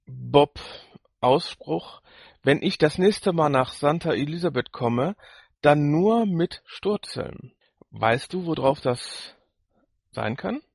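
A man co-hosting a podcast in casual review chat, halting as he searches for words. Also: a little high, vocal fry, husky, no echo, studio quality. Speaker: podcast co-host.

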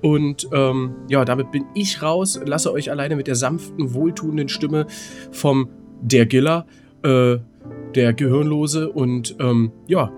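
A man's voice: mid-range voice, takes it slowly, a little mellow, faint music behind. A man chatting casually as co-host of a podcast. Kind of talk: casual chat.

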